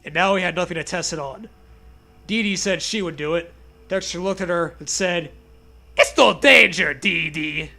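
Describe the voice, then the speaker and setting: Young man, high-pitched, bright voice, comedic read-aloud, casual, jokey, irreverent podcast host. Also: a little fast. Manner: jokey, irreverent, laughs often. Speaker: podcast host reading bad fan fiction.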